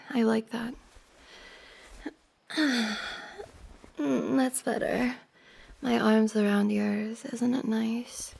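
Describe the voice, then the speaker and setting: A woman playing a giggly, teasing young woman confessing to her crush in an intimate ASMR roleplay, with a creaky voice. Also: a little high, a little mellow, heavily acted. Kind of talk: intimate ASMR roleplay, acted as one side of a conversation.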